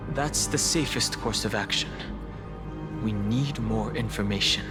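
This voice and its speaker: An actor playing a cautious, serious young adventurer in a video game, with measured delivery. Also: male voice, lowish voice, over loud music, close to an everyday voice. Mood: composed.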